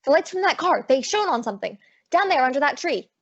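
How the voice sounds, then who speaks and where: Wisecracking lady detective, played by a woman, highish voice, hard-boiled radio serial narration, dry close sound, slightly dull recording. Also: big pitch swings, quick pace, dry recording. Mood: playful, curious. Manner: wry, slangy hard-boiled storytelling. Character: wisecracking female private detective narrating her own case. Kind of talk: old-time radio detective drama acting.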